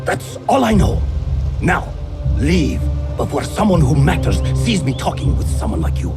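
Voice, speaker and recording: A man's voice: on the high side, very animated, normal speed, loud music behind the voice. An actor playing a haughty, greedy male merchant who talks in a contemptuous, irritable way. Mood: grudging.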